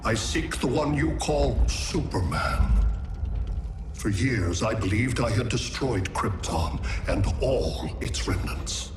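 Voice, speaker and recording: An actor playing a cold, calculating alien conqueror in a video game, speaking in a formal, detached way. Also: male voice, medium pitch, expressive, takes it slowly, background noise. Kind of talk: video game cutscene acting. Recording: echoey voice over music.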